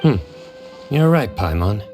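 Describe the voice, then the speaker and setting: A man playing a composed, shrewd young chief investigator in a video game, speaking in a measured, authoritative way. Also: lowish voice, big pitch swings. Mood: composed, commanding. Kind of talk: video game character acting. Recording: music behind the voice.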